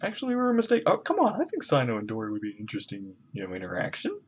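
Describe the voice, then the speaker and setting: A woman's voice: very deep voice, huge pitch swings, rapid-fire, husky. A woman giving casual, playful live reactions to a game, interjecting as it plays.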